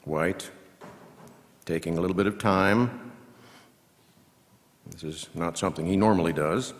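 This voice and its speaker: A man playing a seasoned, folksy baseball radio announcer, giving play-by-play with measured asides that break into punchy, drawn-out calls. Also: deep voice. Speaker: reader performing a scripted baseball commentary. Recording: long hall reverb from live venue.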